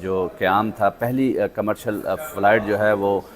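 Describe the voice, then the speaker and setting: A man's voice: lowish voice, steady tone. A man with a Pakistani accent presenting an on-location news report and interview as a journalist, often hesitating between phrases. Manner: halting, with frequent hesitations. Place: on location in an air traffic control centre.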